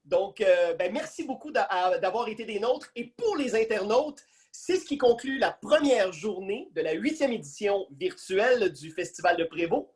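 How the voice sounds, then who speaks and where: Man, Quebec French accent, animated pitch movement, casual host, online interview. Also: normal speed. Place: video call.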